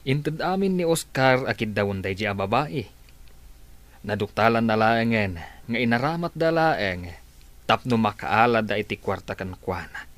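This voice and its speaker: Brief: actor as an advising friend, steady yet lively in pitch. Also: male voice, moderate pace, dry recording. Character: calm man advising his friend. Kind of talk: radio drama acting.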